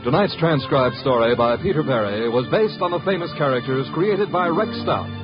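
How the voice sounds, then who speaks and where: A man's voice: fairly high pitch, animated, moderate pace, over music. A man with an American accent announcing in polished, promotional 1950s radio style, reading scripted material. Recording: dull old radio broadcast.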